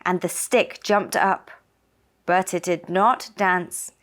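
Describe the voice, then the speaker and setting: A woman storyteller narrating a scripted children's tale in a simple, deliberate manner for small children. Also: on the low side, steady tone, fairly bright tone. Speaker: puppet show storyteller.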